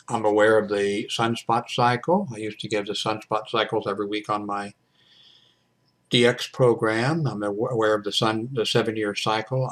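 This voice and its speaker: An older American man talking casually to his viewers in a rambling, halting monologue that often trails off and wanders between points. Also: fairly low pitch. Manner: digressive, halting, often trailing off mid-sentence. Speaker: hobbyist video blogger.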